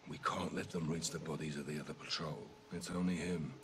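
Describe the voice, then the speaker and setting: An actor playing a seasoned, wry special forces captain who gives terse, commanding callouts in a military video game. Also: male voice, very deep voice, fairly even intonation, a little fast, heavy background noise. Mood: hushed, focused. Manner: clipped, dry, commanding. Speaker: squad leader.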